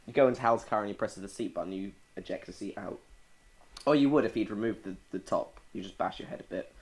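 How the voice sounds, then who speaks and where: A man's voice: on the low side, quick pace, a little hiss or hum. Chatty British teenage boy, teasing. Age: teens. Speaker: podcast co-host. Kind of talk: casual chat.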